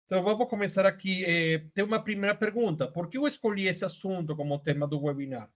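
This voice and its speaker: A man's voice: sits high, fast. Venezuelan-accented male presenter, casual and chatty with lots of fillers, dull lo-fi call audio. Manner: casual, many fillers and tag questions. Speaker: webinar presenter. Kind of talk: online webinar presentation. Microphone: video call. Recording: muffled lo-fi audio.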